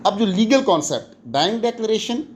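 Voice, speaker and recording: A man's voice: sits high, big pitch swings, brisk. A man with a Pakistani accent giving an explanatory lecture in a didactic way, switching between two languages and posing questions that he then answers. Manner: didactic, mixes Urdu and English, poses questions then answers them. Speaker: law lecturer. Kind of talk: explanatory exam-prep lecture.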